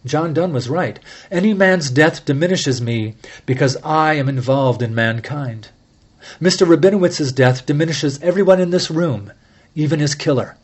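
A man voicing a calm, incisive amateur sleuth who lays out his case in measured, formal speech, for an audiobook. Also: on the high side, husky, voice pushed up. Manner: measured, mild yet relentless, formal address.

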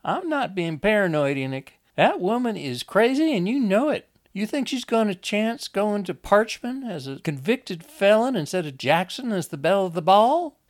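Audiobook role: scared, insistent young rural woman, performed by a man, raised pitch, urgent folksy delivery. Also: sing-song, moderate pace, hardly any pauses. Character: wounded, frightened, pleading rural Southern young woman.

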